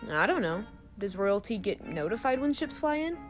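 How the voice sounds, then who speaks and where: Woman playing a lively, breezy young troublemaker. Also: mid-range voice, normal speed, faint music behind, close to an everyday voice. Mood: dismissive. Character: breezy, mischievous young troublemaker full of half-baked theories. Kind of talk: audio drama acting.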